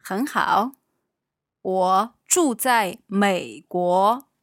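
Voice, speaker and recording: A woman from China, a native Mandarin speaker, gives model sentences very slowly and deliberately. Her pitch sits in a middle range. The voice sounds close and dry. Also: no echo. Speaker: language teacher. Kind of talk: podcast language lesson.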